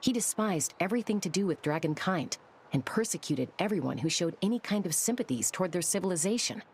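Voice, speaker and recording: A woman playing a composed, serious warrior in a video game, speaking in a measured storytelling manner. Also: sits low, slight background noise. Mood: grave.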